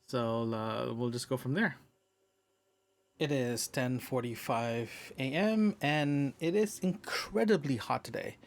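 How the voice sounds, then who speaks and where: Male podcast host, medium pitch, unscripted diary feel, halting delivery that wanders as he thinks aloud. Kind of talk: casual audio diary.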